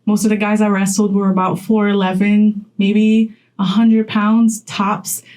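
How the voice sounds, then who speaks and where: A woman's voice: mid-range voice, flat delivery, unhurried, a little mellow, rough recording. A young woman from Poland talking straight to camera in a chatty vlog, laughing often as she gives advice. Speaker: content creator.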